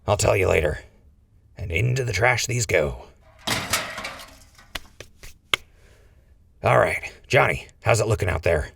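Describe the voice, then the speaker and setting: An actor playing a glib, wry male fugitive with a gravelly voice in a post-apocalyptic audio drama. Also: fairly low pitch, animated, normal speed, a bit bright, dry recording, far from the mic, full character voice. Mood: wry, assured. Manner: wry, bluffing. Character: Crispy, glib scheming male wasteland fugitive.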